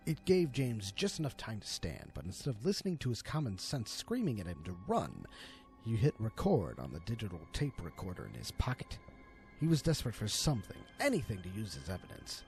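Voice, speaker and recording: A man's voice: on the low side, vocal fry, a little mellow. A man narrating a scripted horror story in a dramatic storytelling style. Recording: music under the voice. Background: background music.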